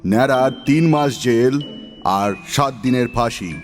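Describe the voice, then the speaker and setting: A man playing a solemn, pompous owl judge with a grave, deliberate manner in a children's audio story. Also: medium pitch, faint music behind, natural voice. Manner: grave, deliberate, repeating orders in English.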